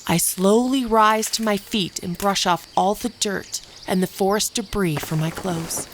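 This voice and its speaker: A woman playing a wounded preteen camp girl, narrating a horror story in the first person in a deliberate, detached, dramatic way. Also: mid-range voice, a little hiss or hum, lightly acted. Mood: confused, pained. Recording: music behind the voice.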